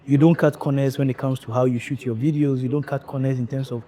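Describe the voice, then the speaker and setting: A male podcast host who speaks in a measured, reflective way, pausing often as he builds his questions. His voice has a dark, mellow tone and a middle pitch. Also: flat delivery. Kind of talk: conversational interview.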